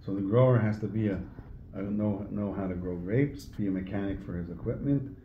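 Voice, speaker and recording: A man in his sixties, a winemaker explaining his trade casually in an interview, somewhat haltingly, recorded across a table indoors. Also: fairly low pitch, phone-quality sound. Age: 60s. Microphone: phone camera across a table. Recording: roomy phone recording, faint background noise.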